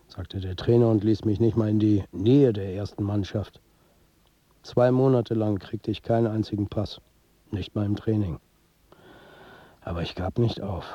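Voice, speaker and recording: A weary football manager narrating a radio drama in the first person. His voice is low and very mellow, with a husky grain. He talks in blunt, colloquial, foul-mouthed language on a slightly dull recording. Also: no room sound. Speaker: narrator and lead actor.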